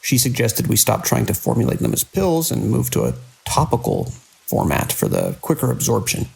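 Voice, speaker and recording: A man playing a weary, dedicated hospital director in an audio drama, speaking in a measured, grave way. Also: fairly low pitch, huge pitch swings, creaky, a little mellow, husky, exaggerated voice, rough recording. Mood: grave, concerned. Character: weary, dedicated male hospital director under mounting strain. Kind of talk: audio drama acting, recorded log entries and phone call.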